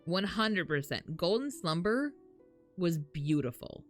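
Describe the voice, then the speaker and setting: Animated, low-voiced woman streamer. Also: slow pace. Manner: sweary, prone to laughing. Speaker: game livestreamer. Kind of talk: casual chat, reacting to a game and viewers. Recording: faint game music behind. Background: faint music.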